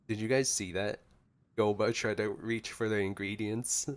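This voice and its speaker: Low-voiced man doing casual game commentary. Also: level tone, normal speed. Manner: informal, playful teasing. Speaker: gameplay commentator. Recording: game music behind the voice.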